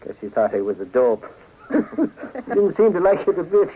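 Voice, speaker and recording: On a muffled old radio drama, a blustering Irish investigator. His voice sits high, and he talks in a simple, folksy, slightly sheepish way. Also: very animated, unhurried, slight background noise. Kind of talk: 1940s radio acting. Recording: dull lo-fi 1940s radio recording.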